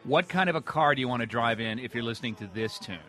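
A man's voice: medium pitch, animated, fast. An American man hosting a radio music interview in a playful, informal, conversational way.

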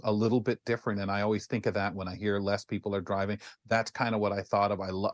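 A man's voice: on the low side, no echo, clean studio sound. A man hosting a casual panel chat in a rambling, conversational way. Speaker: talk show host.

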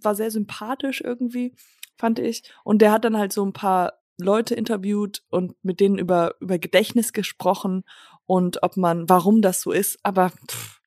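A young woman from Germany co-hosts a casual podcast, talking in loose, rambling bursts and slipping into English now and then. Her voice sits in a middle pitch and is recorded close and dry, with no room sound. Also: fairly even intonation, moderate pace, darkish tone, no room sound. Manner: chatty, rambling, giggly, drops English phrases. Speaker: podcast co-host. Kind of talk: casual chat.

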